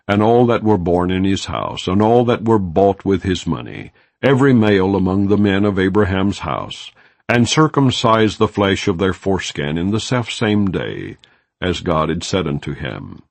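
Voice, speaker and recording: A man narrating scripture in a measured, solemn scripted reading. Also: deep voice, creaky, dry recording. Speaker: Bible narrator.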